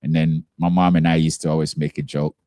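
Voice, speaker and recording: A man's voice: rumbling low, level tone, a little fast, mellow, no echo. A man from Ghana, a guest on a radio show in a studio, talking in an easygoing way and chuckling often. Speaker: guest, nonprofit founder. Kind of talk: casual interview chat.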